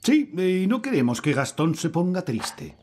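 A man's voice: sits high, very animated, measured pace, a little mellow, full character voice. Fretful cartoon king, swooping Castilian delivery. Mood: polite, reluctant. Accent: Castilian Spanish. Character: reluctant, fretful middle-aged fairy king, politely grumbling. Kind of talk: animated cartoon dub.

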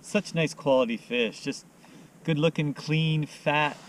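A man's voice: on the high side, takes it slowly, poor audio. A middle-aged man giving casual, upbeat fishing commentary in short exclamatory phrases, talking to a camera outdoors on a boat. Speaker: fishing vlogger. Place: outdoors on a small boat on a lake. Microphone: camera mounted on the boat, a few feet away. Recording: loud wind noise on camera mic. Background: wind and water noise.

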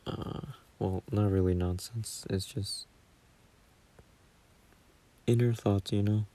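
A man playing a gentle, shy, doting young boyfriend in a sleep-aid audio roleplay, murmuring softly and hesitantly close to a phone mic. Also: lowish voice, steady tone, slow. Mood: bashful, gentle. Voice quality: husky, soft, breathy murmur.

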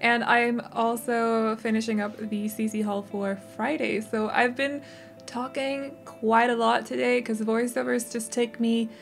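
A young woman narrating a game building video in a chatty, casual voiceover, her voice a little rough and strained, often correcting herself and giggling. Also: fairly high pitch, little pitch movement, unhurried, faint music behind.

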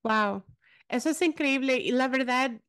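A woman's voice: sits high, measured pace, clean tone, no echo, clean studio sound. A woman in her thirties hosting a podcast interview over a video call, encouraging and affirming, speaking Spanish as a second language after English. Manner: warm-paced, encouraging, affirming. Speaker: podcast host. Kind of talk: casual interview conversation. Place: room with plain gray wall.

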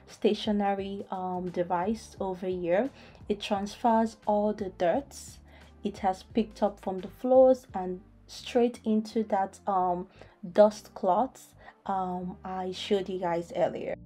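A woman's voice: mid-range voice, dark tone. A young woman vlogger talking viewers through a home gadget demo in a chatty way, with lots of "um" fillers. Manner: chatty, many "um" fillers, addresses viewers as guys. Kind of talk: product demo voice-over. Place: home, indoors.

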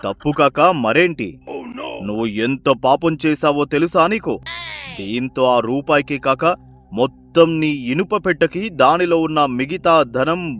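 A man voicing a sly village holy man in an animated moral story, measured, solemn and admonishing. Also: on the high side. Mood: stern warning.

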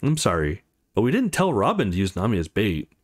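A man's voice: on the low side, wildly swooping pitch, fairly quick, slightly rough, voice pushed down, exaggerated voice. Proud, competitive young woman, read by a man. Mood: grudgingly sorry.

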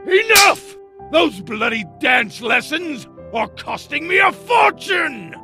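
Volatile failed-writer dad male actor, very high and sharp, explosive ranting, comic-dub melodrama. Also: very animated, takes it slowly, faint music behind, full character voice. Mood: bitter fury. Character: volatile, bitter, frustrated father and failed writer.

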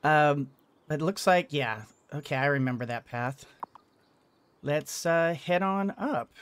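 A man's voice: on the high side, a little slow, fairly bright tone, a little hiss or hum. A middle-aged man giving casual let's play commentary, thinking aloud and hesitating as he goes. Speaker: gaming video host. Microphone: microphone on arm in front of him. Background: game music.

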